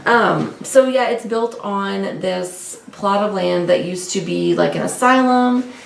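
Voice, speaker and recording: Gravelly-edged woman chatting casually in a roomy space. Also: mid-range voice, takes it slowly. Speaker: co-host of a book discussion vlog. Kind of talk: casual unscripted book chat. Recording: roomy, distant mic with faint noise.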